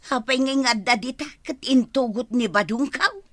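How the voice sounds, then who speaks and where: A bossy, sharp-voiced Ilocano farm wife. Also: female voice, a little high, normal speed, no echo. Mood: puzzled. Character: bossy farmer's wife keeping tabs on husband. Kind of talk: Ilocano audio drama acting.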